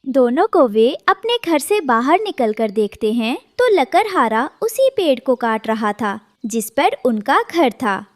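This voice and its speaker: A woman's voice: high-pitched, big pitch swings, a little slow, few pauses, no room sound. A woman narrating a scripted children's cartoon story.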